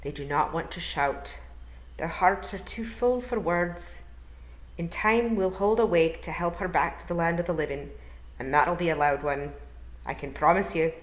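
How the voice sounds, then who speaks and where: Lady doing an old pixie bard guy for storytime, slow n polite, rolls his r's, wistful, echoey room. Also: fairly low pitch, natural voice. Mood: solemn. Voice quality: rolled R's. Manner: clear, slow, polite, formal.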